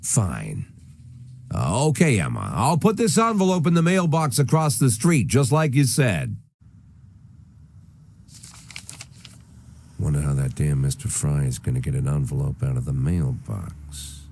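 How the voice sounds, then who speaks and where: An actor playing a sardonic, aging American police chief with a gruff, dry delivery in a video game. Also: male voice, sits low, big pitch swings, normal speed, a little mellow, a little hiss or hum, exaggerated voice. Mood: irritated. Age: older. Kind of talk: naturalistic video game voice acting.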